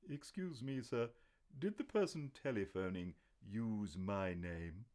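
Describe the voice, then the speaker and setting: A man's voice: lowish voice, animated, takes it slowly, a little mellow, pitched above the performer's own voice. A man voicing a stiff, proper older butler who speaks with formal, deferential politeness. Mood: startled.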